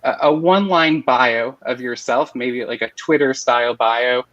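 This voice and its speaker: A man moderating an online panel, speaking steadily with frequent pauses and fillers. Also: medium pitch, a bit bright, no echo, muffled recording.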